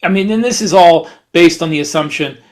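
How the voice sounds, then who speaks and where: Higher-pitched man in halting podcast chat. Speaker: podcast co-host and fiction writer.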